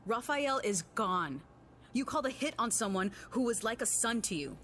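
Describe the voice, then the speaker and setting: A woman's voice: fairly high pitch, animated, normal speed, fairly bright tone. A young woman acting as a proud, defiant assassin and boss's daughter, curt, guarded and challenging in a dramatic scene. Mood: defiant, bitter. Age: young adult. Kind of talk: dramatic film-style acting. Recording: clearly noisy background ambience.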